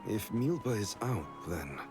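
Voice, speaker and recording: An actor playing a vigilant, reserved swordsman in a fantasy video game, speaking in a measured, formal, serious way. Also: on the low side, very animated. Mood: calm, reflective. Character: composed, vigilant male swordsman, earnest and reserved. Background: game music.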